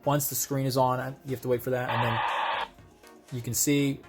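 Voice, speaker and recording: A man's voice: mid-range voice, flat delivery, moderate pace, faint music behind. A man giving a casual, conversational hands-on product demonstration, explaining things step by step as a video host.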